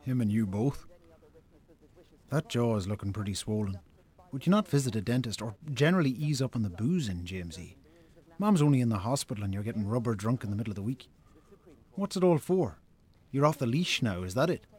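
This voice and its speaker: An actor playing an exasperated, dry-tongued Irish brother chiding a drinker in a naturalistic radio drama. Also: male voice, mid-range voice, lively intonation, a little fast, a little mellow, breathy edge. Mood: reproachful.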